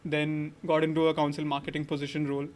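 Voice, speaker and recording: A man's voice: fairly high pitch, completely flat delivery, fast talker, a little mellow. A young man in his twenties from Saudi Arabia, a podcast guest chatting casually and stringing his thoughts together with many fillers. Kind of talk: casual interview conversation.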